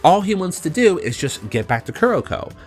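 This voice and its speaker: A man with a slightly high voice narrates a game review as a channel host. His pitch moves around in a lively, animated way, and he leans on key words in a conversational, semi-scripted delivery. Music plays faintly behind him. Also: a little fast. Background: background music.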